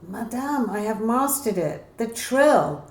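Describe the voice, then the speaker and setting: A woman's voice: a little high, lively intonation, slow, a little mellow, voice pushed up. A woman voicing an eager, anxious sixteen-year-old girl opera student in a novel reading. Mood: excited. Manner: eager, urgent, easily mortified. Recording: roomy echo, faint background noise.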